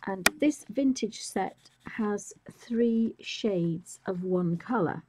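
A woman demonstrating a colouring technique live, a bit croaky from a chest infection, speaking softly and musing, often trailing into whispers. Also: mid-range voice, slow pace, slight background noise, low-quality recording. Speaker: art tutor.

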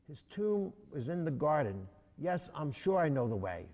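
A man doing a grieving woman disciple, storybook read-aloud, lively lilt, muffled sound. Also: on the high side, normal speed, long reverb tail. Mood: sorrowful. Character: grieving then joyful woman disciple, Mary.

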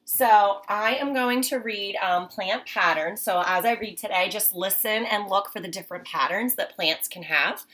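Bright-voiced woman teaching children. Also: medium pitch, moderate pace, hardly any pauses. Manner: simple explanatory phrasing with frequent check-in tags. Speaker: mother and teacher reading aloud for children. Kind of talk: children's read-aloud lesson. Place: indoors, small room at home.

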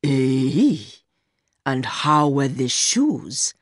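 A woman voicing an older rich man, a smooth, condescending and fatherly secret lover in an audiobook story. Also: a bass voice, big pitch swings, very slow, no echo, clean studio sound, voice pushed down, heavily acted. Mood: smooth, probing. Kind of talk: acted dialogue in audiobook story.

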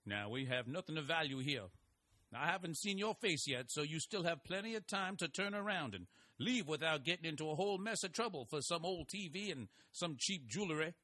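A man's voice: on the high side, lively intonation, fairly quick, bright, forceful tone, no room sound. An actor playing a folksy, wry older male investigator who narrates his story. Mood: commanding. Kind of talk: audio drama narration and acting.

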